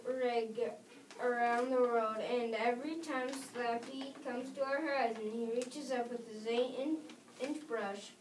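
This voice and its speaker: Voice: mid-range voice, steady tone, takes it slowly. A female reader narrating a scripted story aloud in a readers theater. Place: echoey room. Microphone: distant microphone. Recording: roomy, distant microphone, background noise.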